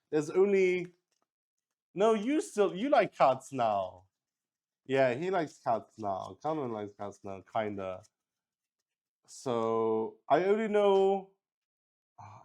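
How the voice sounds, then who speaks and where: A man's voice: medium pitch, darkish tone. A man hosting a solo tabletop game stream, chatting casually and unscripted in a rambling way that often restarts sentences. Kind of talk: casual livestream chat, unscripted.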